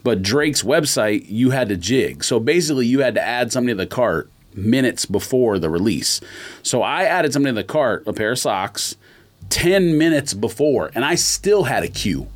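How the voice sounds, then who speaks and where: A man's voice: medium pitch, very animated, normal speed. A man co-hosting a podcast in casual chat, explaining things in an emphatic, opinionated way. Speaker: podcast co-host.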